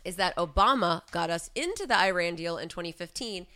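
Brief: American woman podcast co-host, low-pitched but bright and clear, casual and profane. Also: expressive, brisk. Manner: irreverent, crude, joking. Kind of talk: casual political chat.

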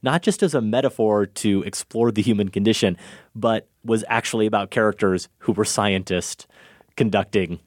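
A man's voice: on the low side, moderate pace, no room sound. A man co-hosting a film review podcast, introducing films and giving dry, sardonic takes. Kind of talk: casual film review chat.

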